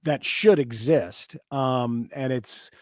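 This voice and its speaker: A man's voice: medium pitch, no room sound, clean studio sound. A man hosting a conversational sports interview, repeating words as he forms his thoughts. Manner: halting, repeats words while forming thoughts. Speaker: podcast host interviewer.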